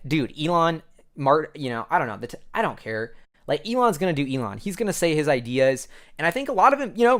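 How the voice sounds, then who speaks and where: Fast-talking American man, casual commentary. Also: on the high side, a bit bright. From United States. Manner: informal, rambling, heavy use of "like" and "you know". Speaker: online show host and commentator.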